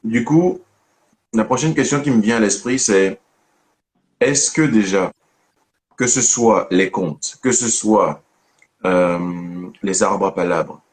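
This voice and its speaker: A man's voice: on the low side, slow, long pauses. A man hosting an online interview, asking questions and teasing his guest in a joking, familiar way. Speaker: host of a storytelling channel.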